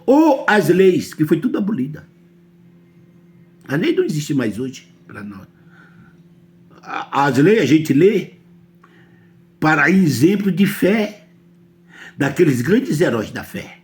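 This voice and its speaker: A man's voice: a little high, very animated, slow, long pauses, darkish tone. A man from Brazil with a Brazilian Portuguese accent, preaching a sermon emphatically and often asking his listeners whether they understand.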